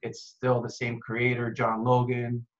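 A man's voice: mid-range voice, monotone, unhurried. A man in his thirties from Costa Rica, an actor chatting casually in an interview over a video call, laid-back and full of "dude" and "man". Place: home room with window, indoors. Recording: dull lo-fi video call audio.

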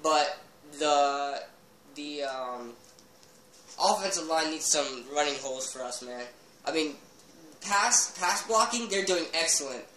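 A man's voice: a little high, lively intonation, pushed and bright, echoey room, a little hiss or hum, poor audio. A teenage boy doing a heated post-game football review, talking into a laptop webcam in a small bedroom. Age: teens. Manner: heated, fast fan rant with fillers. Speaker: sports vlogger.

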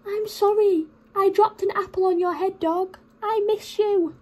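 A woman's voice: extremely high pitch, steady tone, measured pace, a little mellow, pitched above the performer's own voice. A woman voicing a haughty, snappy pet cat from a storybook, with emphatic, exaggerated delivery. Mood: sorry.

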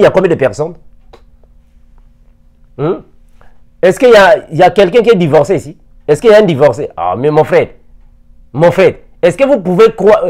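A man's voice: high voice, darkish tone. A man in his forties teaching on video from an armchair indoors, firing rhetorical questions at his listeners. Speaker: preacher. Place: indoor room, seated in armchair. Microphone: lapel mic.

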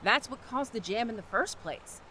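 A woman playing a brisk, no-nonsense animal expert in an audio drama. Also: a little high, lively intonation, pushed and bright, slight background noise. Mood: puzzled.

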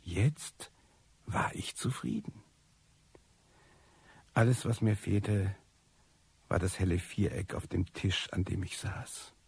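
An actor playing a disillusioned, cynical recluse who tells his life story in a radio drama, wry and bitter, with a husky, gravelly voice. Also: deep voice, slow pace, pauses often, a little mellow, no echo, heavily acted. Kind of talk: radio drama first-person monologue.

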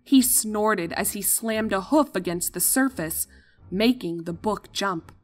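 A woman's voice: mid-range voice, unhurried. A woman reading scripted fiction as the narrator of a story. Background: background music.

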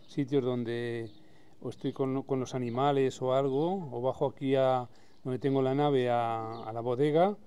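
A man's voice: mid-range voice, fairly even intonation, a little slow, mellow, clean tone. A man, chatting casually in a folksy, repetitive way outdoors. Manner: folksy, repeats himself. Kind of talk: casual chat. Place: outdoors in a village and vegetable garden.

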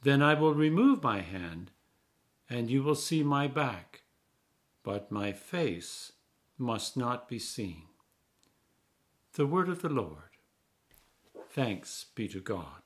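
An older man reads scripture aloud in the manner of a church minister. His pace is slow and deliberate, while his pitch rises and falls widely to stress key words. The tone is solemn and measured. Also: very animated. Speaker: Anglican clergyman reading scripture. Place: small indoor room.

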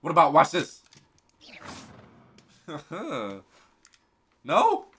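A young man in his twenties, a streamer giving crude, loud, excitable commentary while playing a game, talking into a headset in his bedroom. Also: on the high side, very slow, breathy edge. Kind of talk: crude live gaming commentary. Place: pink-lit bedroom, indoors.

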